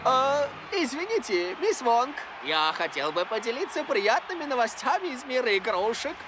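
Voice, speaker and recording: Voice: medium pitch, big pitch swings, moderate pace, loud music behind the voice, lightly acted. An actor voicing an eager, naive and goofy young male footballer in a cartoon dub, with a cheeky manner. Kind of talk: cartoon dubbing.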